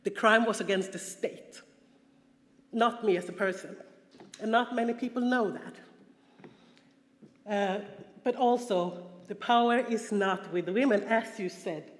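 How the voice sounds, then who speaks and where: A woman from Sweden with a Swedish accent, giving an earnest, halting personal talk at a conference. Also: mid-range voice. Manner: earnest, halting, frequent restarts and fillers. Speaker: conference speaker. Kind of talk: personal testimony talk with slides. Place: large conference hall. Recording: echoey hall, long reverb.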